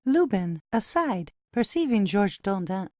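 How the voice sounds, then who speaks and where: Female narrator for scripted stage directions, medium pitch, dry close sound, plain announcing delivery. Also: sing-song, unhurried, dark tone, no room sound.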